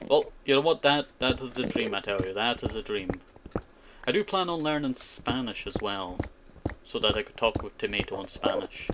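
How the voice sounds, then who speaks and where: A man's voice: fairly low pitch, animated, moderate pace, background noise. A man streaming himself playing a survival game, chatting casually with viewers in a rambling way full of "you know" and "I tell you". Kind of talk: casual live-stream chat while playing, answering chat. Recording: keyboard clicks and typing behind the voice.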